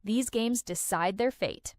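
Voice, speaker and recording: A woman's voice: mid-range voice, measured pace, dry recording, studio quality. A woman narrating a countdown of film and series recommendations in an enthusiastic, recommending tone. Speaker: video host. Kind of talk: scripted list-video narration.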